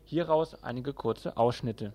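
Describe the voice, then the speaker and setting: A man's voice: mid-range voice, measured pace, a little mellow. A man presenting a news report from a script, as a radio newsreader.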